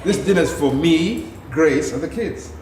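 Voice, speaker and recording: Man acting exasperated wealthy father, lively swooping delivery, stage play, hall reverb, heavy noise. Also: on the high side, a little slow. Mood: indignant, exasperated. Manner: indignant, animated. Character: exasperated, indignant wealthy father and estranged husband. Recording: echoey, very noisy theatre recording.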